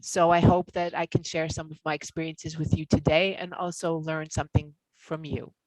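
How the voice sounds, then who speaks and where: A woman's voice: deep voice, little pitch movement, normal speed, darkish tone, no echo, low-quality recording. A woman from the United States with an American accent introducing herself fluently on a video call. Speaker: workshop co-host.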